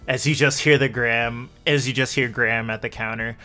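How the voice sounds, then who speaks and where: A man with a creaky voice working as game master, narrating a tabletop roleplaying game in a measured, descriptive way. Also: medium pitch, steady tone. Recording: faint background music. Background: soft music.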